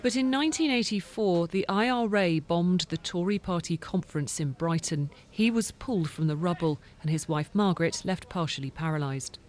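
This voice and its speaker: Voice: mid-range voice, normal speed, hardly any pauses. A reporter reading a scripted obituary narration for a television news report.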